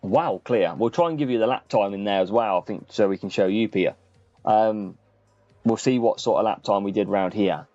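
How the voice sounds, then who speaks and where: Male streamer, casual game commentary, chatty, dark relaxed tone, dry muffled lo-fi audio. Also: lowish voice, normal speed, no echo. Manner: chatty, addresses viewers by name. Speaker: streamer and host of a gaming channel. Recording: muffled lo-fi sound, music behind. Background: faint background music.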